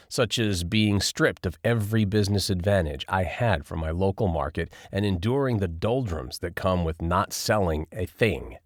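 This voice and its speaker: A man narrating a first-person nonfiction audiobook in an expressive, lively reading style. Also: lowish voice, no room sound, clean studio sound.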